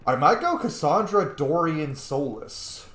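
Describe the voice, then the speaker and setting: A man's voice: fairly high pitch, big pitch swings, unhurried, fairly bright tone. A man giving casual playthrough commentary as a game streamer, informal, sweary and reactive. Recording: echoey room.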